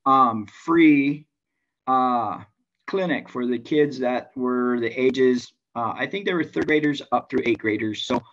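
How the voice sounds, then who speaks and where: A man from Hawaii with a Hawaiian accent, a baseball coach talking casually to a club meeting over a video call, halting with many restarts and fillers. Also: medium pitch, a bit bright. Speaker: high school baseball coach. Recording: dull lo-fi sound.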